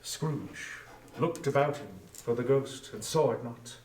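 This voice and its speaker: A man narrating a literary story theatrically, with deliberate phrasing and weight on each listed word. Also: medium pitch, darkish tone, a little hiss or hum. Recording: echoey room, distant from mic.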